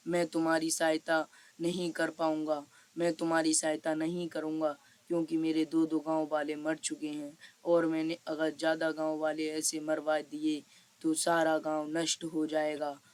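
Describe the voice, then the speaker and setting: A woman's voice: low voice, monotone, normal speed, darkish tone, voice pushed down, close to an everyday voice. A woman voicing a cautious, self-protective village headman in a cartoon story, with a resigned, repetitive way of giving orders. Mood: resigned refusal.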